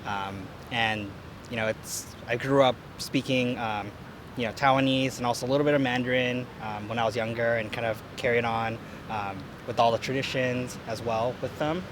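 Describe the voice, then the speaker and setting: A man's voice: mid-range voice, steady tone, moderate pace. American man, hesitant, busy street. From United States. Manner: hesitant, many fillers and restarts. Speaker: interviewee. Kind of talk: casual outdoor interview. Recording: street noise with traffic.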